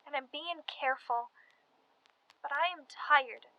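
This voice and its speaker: A woman's voice: sits high, unhurried, sharp tone, dry recording, highs cut off, full character voice. A young woman playing a chatty but weary and frightened college student from 1918 in a radio play. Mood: weary, pleading. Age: young adult. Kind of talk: dramatized letter monologue, period radio play.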